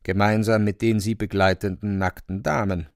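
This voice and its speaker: A man's voice: lowish voice, flat delivery, normal speed, vocal fry, dry recording, clean studio sound. A man narrating a literary radio play, telling the scene as a scripted story.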